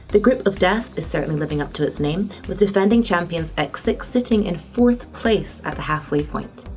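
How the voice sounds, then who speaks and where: A woman with a slightly low voice presents scripted gaming news. She narrates as an esports news presenter, with light music playing behind her.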